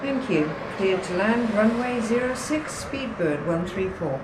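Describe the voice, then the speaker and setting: Woman playing airline pilot amid loud noise. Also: medium pitch, animated, natural voice. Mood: businesslike. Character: airline pilot making formal radio calls. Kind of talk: clipped aviation radio phraseology. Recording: loud rushing cockpit-like noise.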